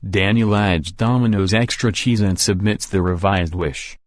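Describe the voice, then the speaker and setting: A man's voice: on the low side, unhurried, dry recording. A man reading scripted story narration for a visual novel.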